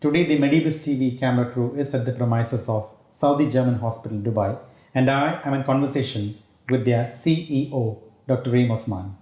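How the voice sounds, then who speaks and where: A male interview host with a mellow, darker tone delivers a formal introduction and his questions at an even, measured pace. His voice carries a roomy echo. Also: medium pitch. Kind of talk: scripted show introduction and questions.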